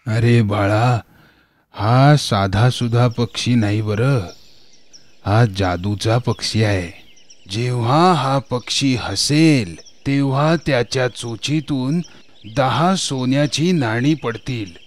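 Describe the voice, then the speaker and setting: A man voicing a kindly, grateful very old man in a children's fairy-tale cartoon, speaking in a slow, affectionate, drawn-out way. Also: medium pitch, pitched below the performer's own voice, natural voice. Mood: grateful, gentle. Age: older.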